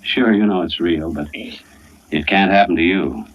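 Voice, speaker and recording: An actor playing a world-weary, down-to-earth middle-aged husband in a 1940s radio suspense play, speaking in a plain, folksy way on an old recording. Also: male voice, sits low, a little slow, lo-fi sound. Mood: grim. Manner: plain-spoken, folksy narration and dialogue.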